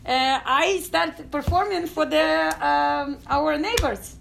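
A middle-aged woman from Ukraine with a Ukrainian accent, telling her story as an interview guest in halting English full of fillers. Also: high voice, gravelly, a bit bright, a little hiss or hum. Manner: halting non-native English with many fillers. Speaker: guest, dance company founder.

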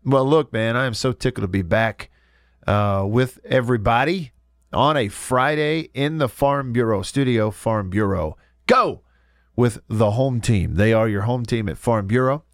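Congested radio host, stuffy voice. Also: male voice, mid-range voice, measured pace, no room sound, clean studio sound. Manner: easygoing, chuckling, frequent restarts. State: congested from a cold. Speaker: radio sports talk host. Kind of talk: casual radio chat. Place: radio studio.

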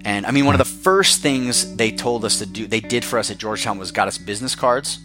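A man's voice: lowish voice, brisk, over loud music. An American man talking conversationally about himself in an informal, emphatic storytelling way, as a guest in an interview.

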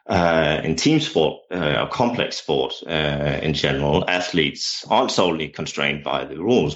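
Man, Danish accent, very low voice, hesitant with fillers, muffled lo-fi audio. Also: fairly bright tone. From Denmark. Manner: hesitant, many fillers and restarts. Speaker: jumps coach and lecturer. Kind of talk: interview answers. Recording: dull lo-fi sound.

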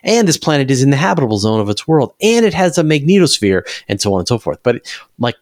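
A man's voice: medium pitch, big pitch swings, quick pace, dry recording, studio quality. A man talking enthusiastically and emphatically in a conversational science discussion, as an interview guest.

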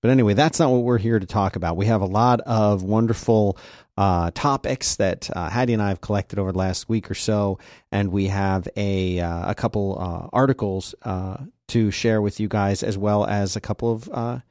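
Low-voiced rambling man on a podcast. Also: steady tone, normal speed, a little mellow, dry recording, studio quality. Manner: rambling, many uhs and restarts. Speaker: podcast co-host. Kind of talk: casual chat.

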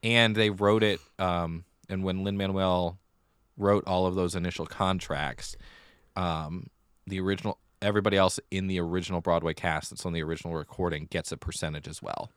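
A man with a very low voice, recorded dry with hardly any room sound. He talks casually as a podcast co-host, leaning on his points with emphasis. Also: fairly even intonation, a little fast, a bit bright, clear, resonant tone, no echo. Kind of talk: casual chat about musical theatre.

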